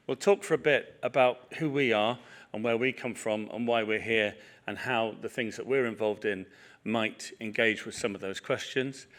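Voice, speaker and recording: A middle-aged man chairing a festival panel in a small hall, chatty and self-deprecating with many fillers. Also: mid-range voice. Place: small event hall with audience.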